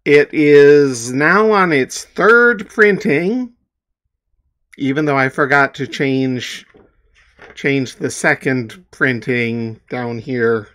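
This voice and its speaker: A man's voice: medium pitch, lively intonation, very slow, fairly bright tone. A man talking casually and emphatically as a comic author introducing his own dramatic reading.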